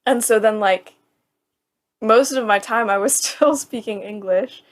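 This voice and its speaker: A woman's voice: mid-range voice, measured pace. A young woman in her twenties co-hosting a casual podcast, talking in a chatty, rambling way full of "like.". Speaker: podcast co-host.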